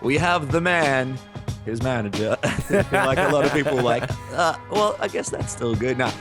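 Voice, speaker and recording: A man from Ukraine co-hosts a podcast in a relaxed, chatty way, breaking into laughs between plugs. His voice sits in a middle register. Music plays clearly beneath him. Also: medium pitch, moderate pace. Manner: chatty, laughs often, promotes channels. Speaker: podcast co-host. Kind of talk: casual chat.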